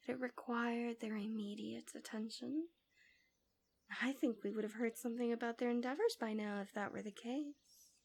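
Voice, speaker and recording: A smug, possessive villainess, acted by a woman, in an ASMR fantasy roleplay. Her voice sits fairly high and stays soft and coaxing, often sinking toward a whisper. She words things formally and deliberately, with an insinuating, manipulative edge. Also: slightly rough. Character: smug, possessive female villain doting on a captive.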